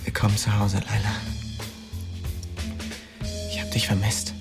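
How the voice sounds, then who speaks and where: A man's voice: on the low side, monotone, measured pace, vocal fry, loud music behind the voice. An actor playing a lovestruck, restless young man who narrates his small-town life in an intimate, slangy, wry way in a radio drama. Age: young adult. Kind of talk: radio drama first-person narration and dialogue.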